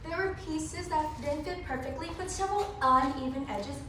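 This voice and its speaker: High, animated woman performer in echoey room. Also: moderate pace. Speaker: readers theatre performer. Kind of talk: theatrical storybook narration. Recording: very echoey room, distant mic, faint noise.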